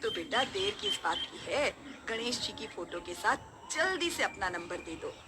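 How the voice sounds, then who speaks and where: A woman's voice: mid-range voice, expressive, a little slow, very noisy, lightly acted. A woman voicing a caring middle-aged mother in a cartoon story, coaxing and reassuring. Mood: encouraging. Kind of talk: acted dialogue in a cartoon story.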